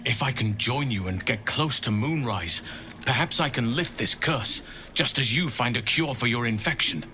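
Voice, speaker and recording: An actor playing a grave, wise, courteous middle-aged druid in a fantasy game, speaking in a measured, formal way. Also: male voice, medium pitch, animated, bright, forceful tone, a little hiss or hum. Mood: grave, resolute. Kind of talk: scripted fantasy game dialogue.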